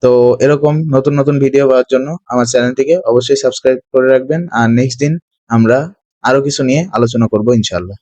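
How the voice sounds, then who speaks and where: A man's voice: mid-range voice, steady tone, quick pace, a little mellow, dry recording. A man narrating a step-by-step screen tutorial as its host.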